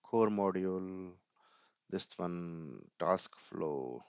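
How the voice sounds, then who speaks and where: Man, smooth low voice, dull dry recording, hesitant tutorial talk. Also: lively intonation, drawn-out words, no echo. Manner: thinks aloud, muttering and hesitant, often repeating words. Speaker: tutorial presenter. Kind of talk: informal screen-share tech walkthrough.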